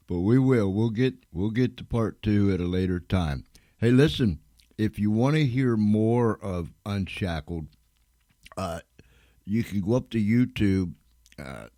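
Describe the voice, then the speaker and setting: Deep, slow-talking male radio host. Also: dark tone, dry recording, studio quality. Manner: halting, folksy, repeats himself. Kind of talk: casual unscripted chat to listeners.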